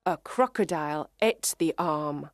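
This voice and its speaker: A woman reading a children's story aloud in clear, measured narration for English learners. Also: deep voice, no room sound, clean studio sound.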